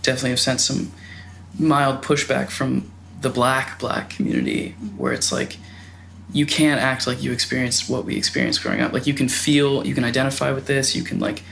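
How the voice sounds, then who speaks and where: A young man, a guest on a podcast, speaking in a thoughtful, measured way in a casual chat. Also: mid-range voice, very animated, creaky, fairly bright tone. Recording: faint noise.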